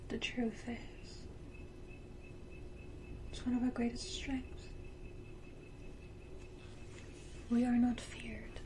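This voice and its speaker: A woman voicing a proud, condescending vampire matriarch in a breathy, deliberate ASMR whisper, with theatrical pauses and close to the microphone. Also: fairly high pitch, completely flat delivery, low-quality recording. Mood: pitying, proud. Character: powerful female vampire matriarch, menacingly tender, proud, condescending.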